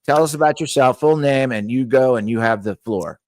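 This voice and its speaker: A man's voice: medium pitch, fairly quick, darkish tone, dry recording. A man hosting a podcast interview in a loose, rambling, laid-back way. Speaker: podcast host. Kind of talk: casual interview talk.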